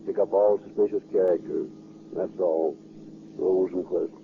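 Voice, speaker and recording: A man with a very low voice reads clipped police bulletins in 1930s style. He sounds terse and official. The sound is phone-like, with strong noise. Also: fairly even intonation, a little slow. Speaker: police radio dispatcher. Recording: very noisy, tinny 1930s radio recording.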